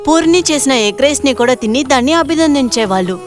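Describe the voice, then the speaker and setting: A woman's voice: high voice, expressive, quick pace, faint music behind. A woman reading a scripted story as its narrator.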